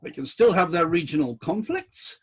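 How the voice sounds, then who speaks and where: A disillusioned God played by a man rules heaven in a radio play, indignant and commanding. The voice sits high for a male, swings widely in pitch and has a husky grain. The delivery is deliberate and decisive. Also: lively intonation. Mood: commanding, resolute.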